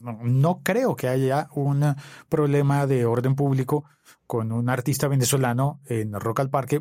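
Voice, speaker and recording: A man's voice: medium pitch, a little mellow, no echo, clean studio sound. A man with a Bogotá Colombian accent hosting a conversational podcast interview, telling things in a reflective, storytelling way. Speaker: podcast host.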